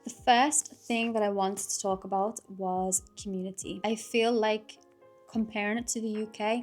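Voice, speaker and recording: A young woman from Liverpool with a Liverpool accent, chatting casually to camera in a relaxed vlog, with lots of fillers and laughter. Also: mid-range voice. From Liverpool, England. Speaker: vlogger.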